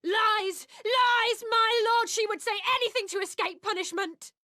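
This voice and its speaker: Outraged female villager, extremely high pitch, strident, accusing, dramatic game acting, dry sound. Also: a little slow, sharp tone, no echo, heavily acted. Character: outraged, vengeful village woman.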